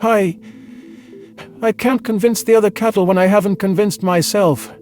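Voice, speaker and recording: A man's voice: high voice, steady tone, a little mellow, faint music behind, close to an everyday voice. A man voicing a frightened, distrustful male alien, a former exterminator, who stammers and stumbles over his words. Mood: pleading. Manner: stammering, halting. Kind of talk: audiobook character voice.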